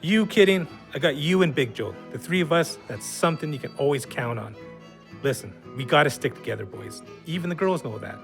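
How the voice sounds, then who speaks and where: Gritty audio drama: scornful, sarcastic male troublemaker, slangy and foul-mouthed, highish creaky voice, faint music. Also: lively intonation, brisk. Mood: scornful, needling. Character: bossy, goading, foul-mouthed man, scornful drinking buddy. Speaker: audio play actor.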